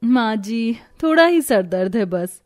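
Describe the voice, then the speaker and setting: A woman's voice: a little high, expressive, a little slow. A woman voicing a spoiled, rich young bride in a cartoon moral story, with an entitled, self-pitying manner. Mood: downplaying.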